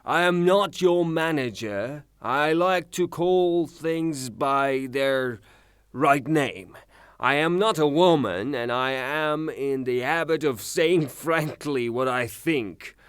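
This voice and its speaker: Man acting a blunt, slow-spoken, scornful creditor. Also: a little high, clean tone, no room sound, studio quality. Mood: stubborn, insistent. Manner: mocking, brusque, deliberate. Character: blunt, hot-tempered, scornful male creditor. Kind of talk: theatrical audio drama acting.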